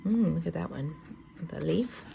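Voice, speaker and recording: A woman reads aloud to kindergartners at a very unhurried pace, her voice on the low side with a gravelly creak. She reads each sentence deliberately, then adds chatty, wondering comments as a teacher would. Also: animated, noisy background. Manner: slow deliberate reading, chatty asides with wondering exclamations.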